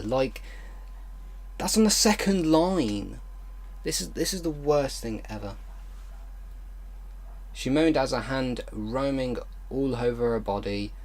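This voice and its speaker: A man hosting an online video, reading fan fiction aloud haltingly with exasperated, disbelieving commentary. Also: on the low side, darkish tone.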